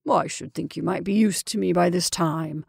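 A woman voicing a man in a story who talks with deliberate, pleasant ease to cover his unease. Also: lowish voice, vocal fry, a little mellow, husky, no room sound, clean studio sound, exaggerated voice. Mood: forced ease. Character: man, brother, falsely easy, covering unease.

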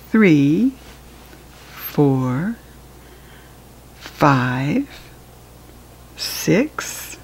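An American woman reading a counting book aloud to young children as a teacher, in an encouraging, sing-song, deliberate way. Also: deep voice, a little hiss or hum, poor audio.

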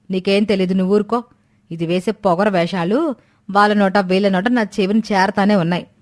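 A plain-spoken middle-aged mother, acted by a woman talks in a mid-pitched, reproachful voice. She is the stern elder of a storybook household. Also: darkish tone, lightly acted. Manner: reproachful, scolding.

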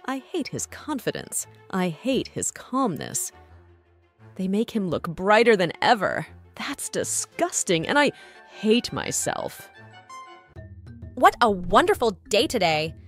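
A woman's voice: medium pitch, very animated, slow, soft background music. A woman voicing a moody purple cartoon cat in a comic dub, theatrical and swinging between sweet and snappish. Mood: cheerful. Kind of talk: animated comic dub acting.

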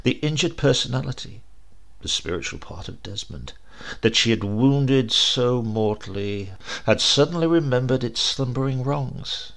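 Creaky-voiced man narrating horror dramatically. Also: on the low side. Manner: theatrical, suspenseful delivery with emphatic swells and hushed drops. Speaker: audiobook narrator.

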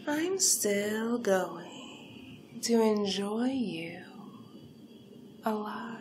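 Very slow, seductive vampire villainess played by a woman. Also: mid-range voice, lively intonation, darkish tone, a little hiss or hum. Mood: hungry, teasing. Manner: slow, breathy, deliberate, often dropping into whispers. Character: seductive hungry vampire villainess, hypnotic and poised. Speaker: roleplay performer. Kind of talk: ASMR hypnosis roleplay, in character.

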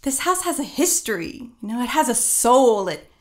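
A woman's voice: a little high, big pitch swings, moderate pace. An actress playing a spirited, playful novelist in an audio drama, earnest about the old house she loves. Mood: earnest, determined.

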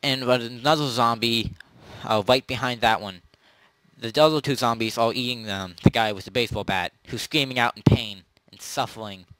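A man improvising casual narration as game master of a role-play, often halting and correcting himself. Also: medium pitch, a bit bright, clear, resonant tone, dry recording, low-quality recording.

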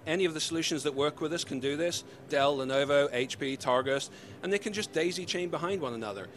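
An upbeat man in his forties with a slightly mixed British accent, pitching his company's gear in a lively, salesy way. His voice sits a little high for a man and comes through a handheld mic on a busy convention floor. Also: quick pace. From United Kingdom. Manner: upbeat, salesy, gesturing while explaining. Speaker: company representative. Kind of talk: trade-show interview. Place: busy convention hall booth. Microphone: handheld mic held by interviewer.

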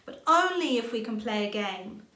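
A woman voicing a bouncy, eager young girl rabbit, excitable and squealing, in a storybook reading. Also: on the high side, moderate pace, roomy sound. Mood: bored, wanting play.

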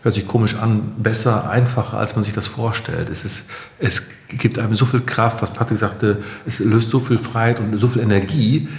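A man's voice: lowish voice, moderate pace, few pauses. A middle-aged man speaking conversationally as a guest in a podcast interview. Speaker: interview guest, company founder. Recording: roomy, echoey sound.

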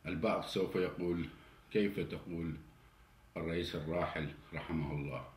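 A man's voice: deep voice, steady tone, a little fast. A man in his forties with an Iraqi Arabic accent, delivering an indignant political monologue straight to the camera. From Iraq. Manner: indignant, grows heated and louder. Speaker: political commentator. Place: indoor study with flag and cabinet. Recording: roomy indoor echo, faint hiss.

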